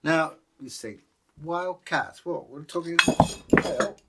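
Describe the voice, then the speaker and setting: A man's voice: fairly high pitch, slow, very noisy. British guy telling folktales, casual chat, kinda rambly and thoughtful. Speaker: co-host and storyteller.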